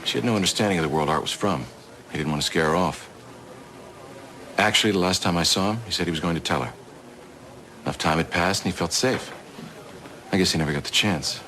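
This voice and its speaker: An actor playing a blunt, seasoned Treasury boss in an audio drama, terse and plain-spoken. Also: male voice, low voice, a little fast, long pauses, sharp tone, slight background noise, rough recording. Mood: grave, candid.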